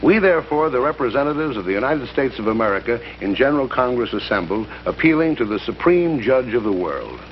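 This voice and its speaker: A man reciting a text from memory in measured tones, with dry humor, in scripted dialogue from a 1950s film. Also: medium pitch, big pitch swings. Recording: muffled old film soundtrack with faint hiss.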